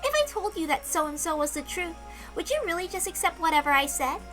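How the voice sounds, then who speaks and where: A woman voicing a calm, aloof female witch who speaks softly and measuredly. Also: very high voice, faint music behind, heavily acted. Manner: soft, measured, quietly lecturing.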